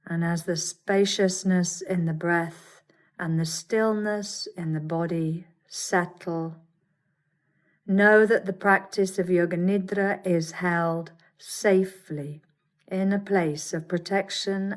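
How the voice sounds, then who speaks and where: A woman teaching yoga nidra, guiding a meditation with soothing, measured instructions and gentle pauses. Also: fairly low pitch, steady tone. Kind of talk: guided meditation.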